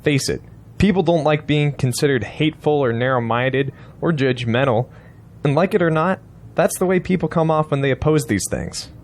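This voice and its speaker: A man's voice: a little high, moderate pace, close to an everyday voice. A man playing a blunt, persuasive political strategist in an audio drama, insistent and lecturing. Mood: pressing, blunt.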